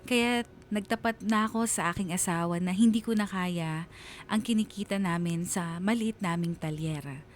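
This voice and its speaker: A woman's voice: fairly low pitch, slight background noise. A woman radio host reading a listener's letter as heartfelt, dramatic scripted narration.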